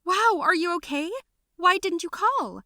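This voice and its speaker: A woman's voice: high-pitched, very animated, normal speed, a bit bright, no room sound, studio quality, pitched above the performer's own voice. A woman voicing a fussy, doting middle-aged mother who gushes and scolds. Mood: fussing.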